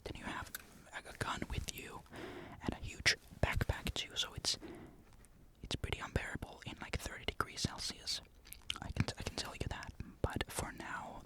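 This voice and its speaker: A young man from Finland, whispering breathily in a casual, rambling ASMR-like monologue despite a sore throat. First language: Finnish. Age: young adult. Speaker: military conscript recounting service.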